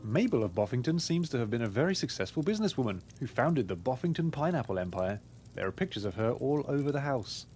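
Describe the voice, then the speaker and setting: A man voicing an earnest pig detective in a game, reasoning through deductions in a formal, deliberate way. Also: mid-range voice, very animated, darkish tone, slight background noise, lightly acted. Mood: earnest, deductive. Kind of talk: scripted game dialogue.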